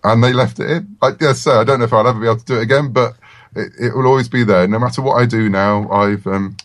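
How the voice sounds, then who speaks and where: A man talking casually as a writer guest in a podcast interview, telling stories in a self-deprecating, halting way. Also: mid-range voice, fairly even intonation, no echo, studio quality.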